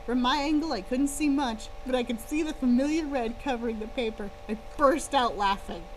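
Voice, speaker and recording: High-voiced woman reading a story aloud. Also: normal speed, poor audio. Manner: expressive, playful. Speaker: author-style reader and streamer. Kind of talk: first-person story read-aloud with casual asides. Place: live stream. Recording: clear background hum and noise.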